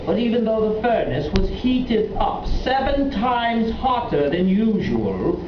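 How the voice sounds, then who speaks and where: A man voicing an earnest, puzzled royal assistant in a children's puppet show, telling things deliberately. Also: sits high, very animated. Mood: hesitant, explaining. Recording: echoey, muffled, noisy room, far from mic.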